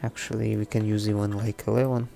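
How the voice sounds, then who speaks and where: A man presenting a casual, unscripted coding tutorial, thinking aloud haltingly in non-native English. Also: on the low side, level tone, vocal fry, mellow. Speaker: presenter of a programming tutorial series. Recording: faint background music under the voice. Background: faint music.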